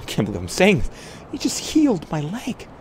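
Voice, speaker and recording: A man's voice: high-pitched, huge pitch swings, moderate pace, darkish tone, slight background noise, voice pushed up. A man playing a wary, weary injured survivor in a tabletop roleplay, hesitant and muttering. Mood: reluctant, amazed. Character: injured male apocalypse survivor, wary, weary, reluctantly grateful.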